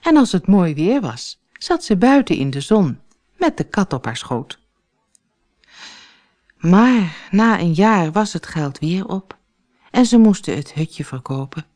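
A woman narrating a children's fairy tale as an audiobook reader, in a deliberate storytelling manner. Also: on the low side, sing-song, a little mellow.

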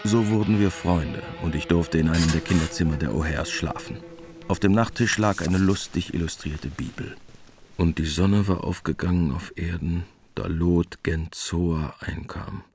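A man playing a war veteran novelist, narrating his past in a measured, wry, understated way in a radio drama. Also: low voice, darkish tone, faint music behind. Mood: wry, reflective. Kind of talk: literary first-person radio drama narration.

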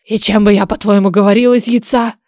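A woman's voice: medium pitch, steady tone, brisk, darkish tone, no echo, studio quality, close to an everyday voice. A woman voicing a grumpy, blunt old male oracle in a fantasy audiobook, grumbling in colloquial speech. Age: older. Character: grumpy ancient male oracle, telepathic voice from stone egg.

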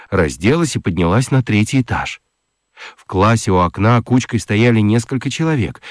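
A man giving an expressive, theatrical scripted narration of a children's story. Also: fairly low pitch, no echo, studio quality.

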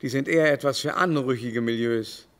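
An actor playing a fussy, imperious aging baron in a radio play, affected, theatrical and condescending. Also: male voice, mid-range voice, a little fast, fairly bright tone, lightly acted. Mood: haughty, scornful.

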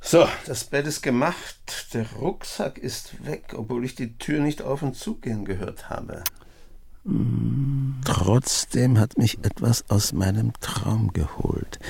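An actor in a radio drama playing a weary, gruff, sardonic grandfather of seventy with a dry manner and a husky, gravelly voice. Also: mid-range voice, takes it slowly, a little mellow, full character voice. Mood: brooding, reflective. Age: 70s. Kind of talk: radio drama acting and monologue.

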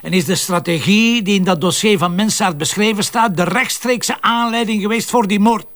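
A dry, steady detective. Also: male voice, sits high, moderate pace. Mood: insistent. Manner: calm with dry irony. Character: dry, steady male police investigator. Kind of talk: radio drama acting.

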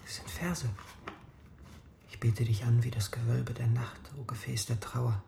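An actor playing a bookish, dreamy young thief in a radio drama, speaking in a hushed, whispery way. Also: male voice, medium pitch, level tone, a little slow, creaky. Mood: enraptured. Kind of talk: German radio drama acting. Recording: noisy, roomy drama scene.

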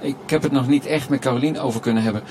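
An actor playing an earnest, anxious young detective in a radio noir drama, speaking hesitantly and full of questions. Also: male voice, medium pitch, racing, noisy background, natural voice. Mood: earnest, tentative. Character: earnest, anxious younger male detective, deferential to elder partner. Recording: music behind the voice.

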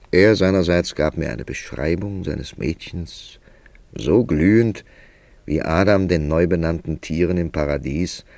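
Male radio drama narrator, weary ironic writer, slow measured phrasing, tightly dry studio sound, wry literary manner. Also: very deep voice, creaky, darkish tone, no room sound, exaggerated voice.

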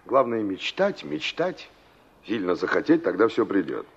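An actor playing a brusque, mocking carpenter in a Soviet radio play, talking down to a younger listener. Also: male voice, lowish voice, sing-song, moderate pace, creaky, slightly rough, full character voice. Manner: sardonic, condescending. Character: brusque, mocking carpenter, older than the girl he talks to. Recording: dull, muffled 1960s radio recording.

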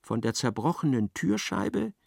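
An actor narrating a darkly comic literary radio play as an observant storyteller. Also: male voice, a little high, very animated, unhurried, creaky, husky, no room sound, clean studio sound, heavily acted. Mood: wry narration.

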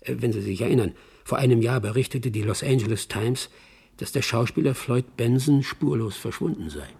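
A man acting a dry, knowing agent who recounts a case in a radio play, measured and wry, with a gravelly, husky voice. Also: mid-range voice, a little mellow. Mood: dry, reflective. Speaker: narrator. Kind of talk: radio drama commentary.

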